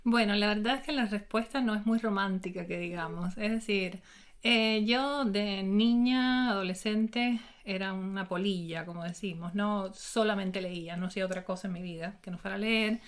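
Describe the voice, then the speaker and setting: A woman's voice: medium pitch, normal speed. A woman in her forties with a Cuban accent, a linguistics professor talking in an interview. She answers thoughtfully, with many fillers, and laughs easily. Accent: Cuban Spanish. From Cuba.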